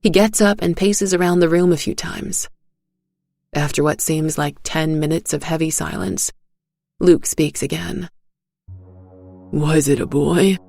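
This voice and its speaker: A woman with a creaky voice narrating a dark first-person story in a solemn, measured manner. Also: low voice, steady tone, no room sound, studio quality. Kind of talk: scripted dark fiction narration.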